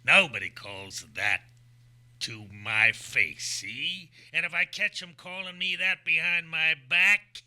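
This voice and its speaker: A man's voice: a little high, slow, bright, forceful tone, exaggerated voice. An actor in an old-time radio play, playing a menacing, streetwise tough guy with bullying wise-guy talk. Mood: threatening.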